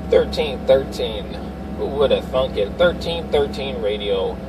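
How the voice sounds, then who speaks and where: A man's voice: mid-range voice, expressive, unhurried, far from the mic. A middle-aged man chatting casually over CB radio in repetitive, slangy patter full of call signs. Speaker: CB radio operator. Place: inside a parked or running Suburban. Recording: loud vehicle noise, off-mic.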